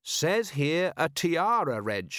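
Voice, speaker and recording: A man's voice: a little high, very animated, slow, fairly bright tone, no echo, clean studio sound, pitched above the performer's own voice, heavily acted. A man voicing a polite, earnest and unflappable police captain in an audiobook. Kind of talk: audiobook character voice.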